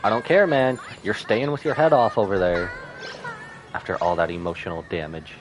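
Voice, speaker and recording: A woman's voice: extremely low pitch, fairly even intonation, moderate pace, poor audio. A woman livestreaming a game with casual, wry, teasing reaction commentary. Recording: game music behind the voice. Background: video game music.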